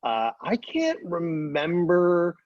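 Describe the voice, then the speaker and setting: A man chatting casually on a panel, halting and searching for his words as he recalls things. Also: fairly high pitch, wildly swooping pitch, dry recording, lo-fi sound. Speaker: TV writer and producer on a panel.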